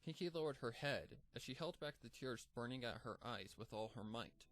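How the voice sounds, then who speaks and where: A man narrating a dark horror fanfiction in a steady, measured storytelling voice. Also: mid-range voice. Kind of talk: dramatic horror story reading.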